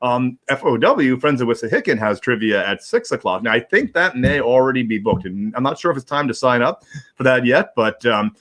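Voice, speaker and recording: A man's voice: medium pitch, expressive, a little fast, fairly bright tone. A man hosting a livestream in casual, rambling talk, often repeating words as he goes. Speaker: host of a livestream. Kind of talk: casual chat.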